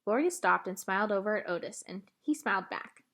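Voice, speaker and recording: Female read-aloud narrator for a children's novel, animated and widely varied in pitch. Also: brisk. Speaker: storybook reader.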